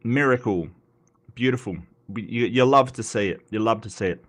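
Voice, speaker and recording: A man's voice: mid-range voice, normal speed. Australian man, nasal from a cold, live-stream host, rambling, self-mocking, stammering restarts. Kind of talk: casual live-stream chat.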